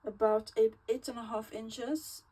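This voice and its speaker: A woman's voice: a little high, fairly even intonation, measured pace, mellow, slightly rough. A Swedish woman with a Swedish accent, her native tongue Swedish, hosting a casual craft tutorial and chatting with hesitant fillers as she works. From Sweden. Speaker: crafting channel host.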